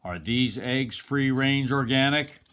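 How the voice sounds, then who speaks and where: A man's voice: medium pitch, slow, a bit bright, clear, resonant tone. A man voicing a stern, grumpy male bear in a children's picture book, curt and fussy. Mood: stern, dry.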